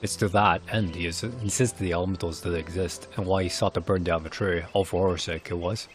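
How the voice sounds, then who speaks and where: A man voicing a weary, solemn old village elder who is gentle with children, speaking in grave, archaic phrasing as in fantasy game cutscenes. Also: low voice, steady tone, a little fast, close to an everyday voice. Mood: solemn, regretful. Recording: clear background noise.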